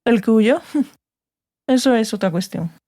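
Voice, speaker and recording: An actress playing a stern, sardonic sorceress, curt and authoritative, in a dramatized fantasy audiobook. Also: female voice, a little high, lively intonation, slow, warm tone. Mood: dry, assured. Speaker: audiobook actor.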